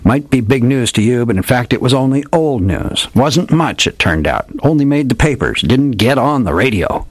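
A man's voice: mid-range voice, expressive, normal speed, no room sound, pitched above the performer's own voice, natural voice. A man reading a story aloud, voicing an old male hardboiled detective with theatrical tough-guy slang. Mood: smug.

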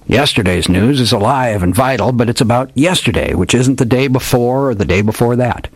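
A man's voice: mid-range voice, animated, a little fast, husky, dry recording, pitched above the performer's own voice. A man reading a story aloud, voicing an old male hardboiled detective with theatrical tough-guy slang. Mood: smug.

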